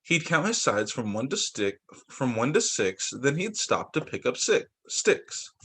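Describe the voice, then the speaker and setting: A young man who teaches young children, reading picture books aloud in a sing-song, expressive way over a video call. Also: mid-range voice, a little slow, breathy edge. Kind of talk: read-aloud story time for young children.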